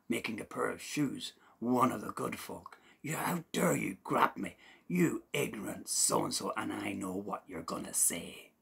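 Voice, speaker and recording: A man doing an indignant gravelly little leprechaun. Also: fairly low pitch, heavily acted. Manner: scolding, raised and blustery. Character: cheeky indignant little male leprechaun shoemaker.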